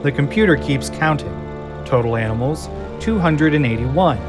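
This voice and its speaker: A man's voice: a little high, expressive, moderate pace, over music. A man narrating an audio drama in a scripted, dramatic storytelling style.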